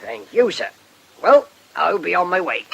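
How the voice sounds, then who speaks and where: A man's voice: high-pitched, sing-song, a little slow, vocal fry, noisy background, heavily acted. An actor playing a chatty, deferential working man with folksy rustic phrasing in a radio drama. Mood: chatty, satisfied.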